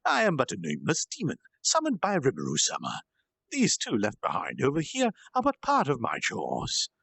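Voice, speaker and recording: A man's voice: on the high side, huge pitch swings, normal speed, creaky, a bit bright, breathy edge, no echo, pitched above the performer's own voice, exaggerated voice. A man voicing a devoted demon servant with a friendly, polite and deferential manner in a storybook reading. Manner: deferential, formal, eager to serve.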